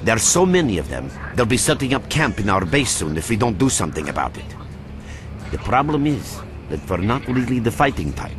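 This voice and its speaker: An actor playing the earnest leader of a secretive research faction in a video game, explaining in a measured way. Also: male voice, on the low side, very animated, over music. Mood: grave, persuasive. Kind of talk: video game character dialogue, expository. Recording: clearly noisy ambient background.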